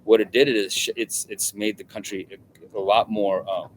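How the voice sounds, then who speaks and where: A middle-aged man from Russia, a guest in an interview, talking in a halting way with many restarts and repeated words. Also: lowish voice, level tone, a little hiss or hum, poor audio. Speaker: guest journalist. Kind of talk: conversational interview.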